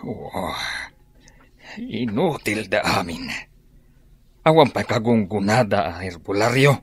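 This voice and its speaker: Drama role: male actor as embittered village fighter, Ilocano accent, lowish rough voice. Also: big pitch swings, slow pace, heavily acted. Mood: bitter.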